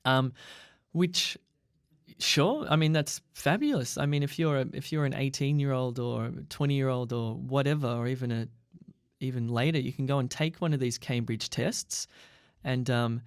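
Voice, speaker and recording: Male podcast host, mid-pitched, keen and chatty, dry close sound. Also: moderate pace, clear, resonant tone, no room sound. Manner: enthusiastic, promotional, with many fillers. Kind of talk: casual podcast chat.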